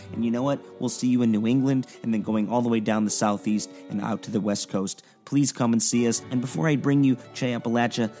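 A man hosting a music show from a living room studio, introducing a band and chatting with them easily. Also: mid-range voice. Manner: easygoing, chatty, warm greetings and laughs. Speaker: radio show host. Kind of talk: show intro and casual interview.

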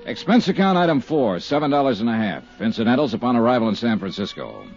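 A wry, hard-working insurance investigator tells his case in a dry, matter-of-fact way, his pitch swinging lively up and down. It comes from a dull, lo-fi old radio broadcast with music playing under him. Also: quick pace. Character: brisk, wisecracking male insurance investigator. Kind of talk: 1950s radio drama acting.

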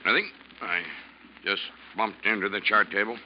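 An actor playing a proud, evasive ship's captain with a curt, defensive manner in an old-time radio drama. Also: male voice, fairly low pitch, moderate pace, a little hiss or hum, lightly acted. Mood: curt, irritable. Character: proud, evasive ship's captain hiding his failing eyesight. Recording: muffled vintage radio drama recording.

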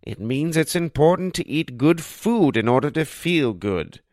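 A man voicing a kindly old pizza shop owner who gently gives advice in a storybook. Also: on the high side, expressive, a little slow, no echo, clean studio sound, voice pushed up.

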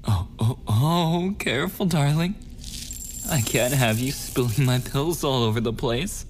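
A man's voice: mid-range voice, soft background music, natural voice. A man playing a possessive, unhinged, murderous kidnapper boyfriend in an ASMR audio roleplay, menacing and often slipping into whispers. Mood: sweetly threatening. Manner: slow, drawn-out menace slipping into whispers and giggles.

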